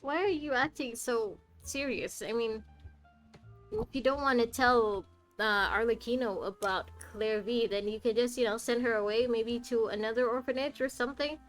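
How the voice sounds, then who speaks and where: A woman's voice: fairly high pitch, moderate pace. A woman giving casual live gameplay commentary, chatty and playful as she reacts aloud to the game. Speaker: game streamer. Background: game music.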